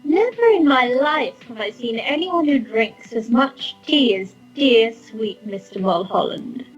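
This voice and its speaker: An older landlady from a storybook, pitched high with a husky breathiness. She is genteel, fussy and sweet in an unsettlingly cozy way, stretching out her exclamations. The recording is dull, with music underneath. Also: expressive. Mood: sweet, eerie. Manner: cooing, genteel, drawn-out exclamations.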